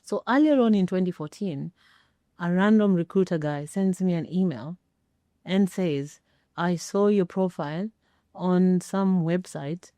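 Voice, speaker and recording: A middle-aged woman tells her story in an interview with a low, mellow voice. She speaks emphatically and pauses for effect. The sound is close and dry. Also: dry recording. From Kenya. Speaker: interview guest, former air traffic controller. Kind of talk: personal storytelling in a sit-down interview.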